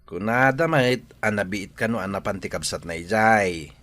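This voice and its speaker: A man's voice: lowish voice, normal speed, fairly bright tone, natural voice. A man acting a humble, persistent village fellow in an Ilocano radio comedy drama. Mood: eager, scheming.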